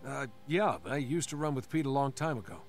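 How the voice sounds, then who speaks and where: A man's voice: mid-range voice, lively intonation, fairly quick, faint music behind, close to an everyday voice. An actor voicing an earnest young man, a former gang member turned hero in a video game. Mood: guarded, persuasive.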